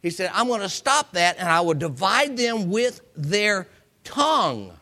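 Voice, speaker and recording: High-pitched, animated American male preacher. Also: unhurried. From United States. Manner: folksy, acts out examples and quotes; repeats phrases for emphasis. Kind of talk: informal Bible teaching sermon.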